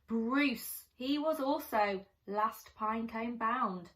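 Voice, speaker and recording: Bright, unhurried woman reading a storybook. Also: a little high, rough recording. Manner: expressive, dramatic pauses, chatty asides to young listeners. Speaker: primary school storyteller. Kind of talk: children's picture-book read-aloud.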